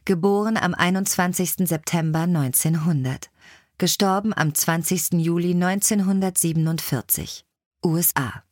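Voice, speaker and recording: Female audiobook reader, slightly deep voice, close dry recording. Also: moderate pace, a little mellow, no echo. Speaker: audiobook narrator. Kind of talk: scripted storybook narration.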